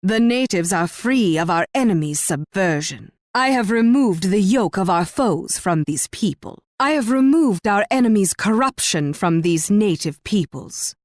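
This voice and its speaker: A woman's voice: mid-range voice, no echo, lightly acted. The imperious Spanish monarch of a strategy game, issuing commands from her throne in sweeping, melodic condescension, as acted by a woman, recorded bone-dry. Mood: stern, triumphant. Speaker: video game character voice actor. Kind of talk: scripted strategy game voice lines.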